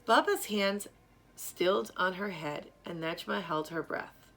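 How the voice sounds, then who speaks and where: A woman reading a children's story aloud in a measured, careful, expressive way. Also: on the low side, a little hiss or hum. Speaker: storyteller reading a picture book.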